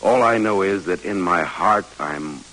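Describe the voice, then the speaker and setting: An American actor in a 1940s radio drama playing a troubled war veteran and professor, earnest and halting. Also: male voice, sits low, a bit bright, rough recording. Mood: anguished, searching. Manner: earnest, halting, self-reproaching. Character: troubled one-armed war veteran turned geology professor. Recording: hissy old radio broadcast.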